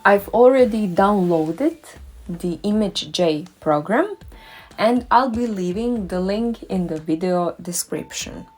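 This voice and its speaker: A woman's voice: medium pitch, animated, slow, a little mellow. A woman who works as a dentist, narrating a scripted step-by-step tutorial in an upbeat, careful way. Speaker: dentist and doctoral researcher.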